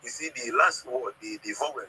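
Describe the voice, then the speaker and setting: Bright, forceful southern Nigerian man, noisy line. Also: mid-range voice. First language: Ika. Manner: halting, repeats words, checks understanding. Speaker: caller. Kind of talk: explaining history and language on a call-in. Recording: noisy call audio.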